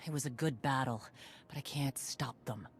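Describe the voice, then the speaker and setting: Gruff warrior clan leader. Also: female voice, rumbling low, moderate pace, soft background music. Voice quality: gruff, blunt, commanding. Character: tough veteran female warrior clan leader.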